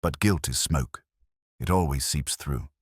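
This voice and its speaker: A man voicing a weary, guilt-ridden elderly English magistrate in a story, confessing in halting, formal phrases. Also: a bass voice, breathy edge, dry recording, clean studio sound, full character voice. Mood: resigned.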